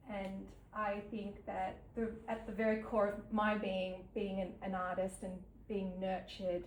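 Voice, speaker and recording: An Australian woman in her thirties talks about her work at a gallery event, pausing often and filling the gaps with ums. Her voice carries a roomy echo and sounds a little far from the mic. A faint hum of background noise sits under her speech. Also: medium pitch. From New South Wales, Australia. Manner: halting, with many ums and soft laughs. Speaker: artist giving a talk. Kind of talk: unscripted artist talk. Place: gallery event space with an audience.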